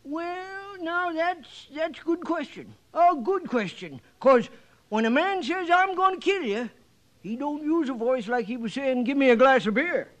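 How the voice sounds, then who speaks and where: An actor playing a garrulous, excitable livery stable owner in an old-time radio western, with an American Western accent and a rambling, hesitant folksy way of talking. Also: male voice, extremely high pitch, a little slow. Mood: hesitant, uneasy.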